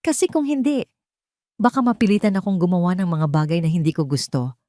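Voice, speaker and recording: Woman playing smug, menacing mistress, mellow low voice. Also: a little fast, no room sound, clean studio sound, lightly acted. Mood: cold threat. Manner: sweetly taunting, mock-gentle. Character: smug, menacing mistress making veiled threats.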